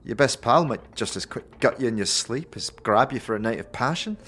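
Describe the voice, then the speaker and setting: A woman's voice: extremely low pitch, animated, normal speed, a bit bright, slightly rough, exaggerated voice. A woman playing a prickly, street-tough young tiefling thief, slangy and snappish, in a fantasy audio drama. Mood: wry, warning. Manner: slangy, snappish, flustered when challenged.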